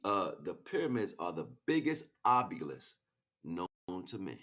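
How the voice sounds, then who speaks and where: A man delivering an impassioned, improvised spiritual monologue, preachy and grandiose, swinging between hushed asides and loud, emphatic declarations. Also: low voice, big pitch swings, measured pace. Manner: preachy, grandiose, repeats phrases for weight. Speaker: spiritual speaker, streamer.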